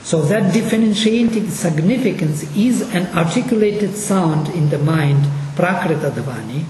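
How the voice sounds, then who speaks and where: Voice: medium pitch, darkish tone, slight background noise. Russian-accented lecturer in an echoey hall. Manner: slow, deliberate explaining. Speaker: lecturer on linguistics. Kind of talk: course lecture. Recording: echoey hall, mic at a distance.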